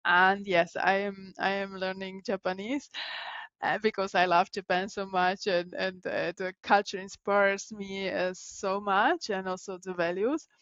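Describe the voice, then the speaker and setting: A woman's voice: mid-range voice, little pitch movement, a little slow, fairly bright tone, dry recording, dull audio. A woman from the Czech Republic with a Czech accent, answering interview questions in an earnest way, often restarting her words. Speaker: guest, LinkedIn personal brand coach.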